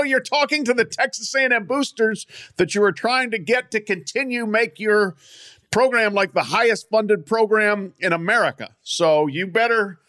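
A man's voice: high voice, sharp tone. A man co-hosting a sports podcast, making his points emphatically in casual chat. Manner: emphatic, argumentative. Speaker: podcast co-host.

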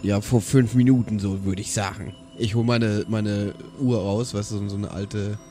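A man's voice: fairly low pitch, steady tone, normal speed, mellow, faint music behind, lightly acted. A man playing a cheeky, talkative seafarer with a casual, bantering manner in an improvised tabletop audio play. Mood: breezy, cheeky.

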